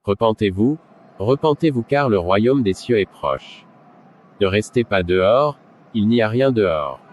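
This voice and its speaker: Cartoon drama role: actor as fervent street evangelist, lowish voice, exhorting, dull lo-fi sound. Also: male voice, lively intonation, measured pace, darkish tone. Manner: preaching, exhorting. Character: fervent male street evangelist, urgent, caring. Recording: dull lo-fi sound, background noise.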